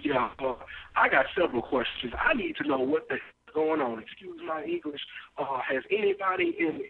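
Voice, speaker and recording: A young man calls in on speakerphone, indignant and rambling. His voice is gravelly and swings widely in pitch. The line is thin and phone-like, with an echo and faint hiss. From Mississippi. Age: young adult. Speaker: caller. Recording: muffled echoey phone line.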